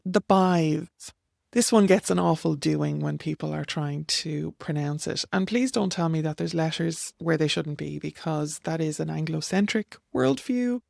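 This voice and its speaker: A woman's voice: low-pitched, moderate pace, creaky, a little mellow, dry recording, clean studio sound. A middle-aged Irish woman with an Irish accent, plainly and firmly explaining name pronunciations over slides. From Ireland. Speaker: author and teacher.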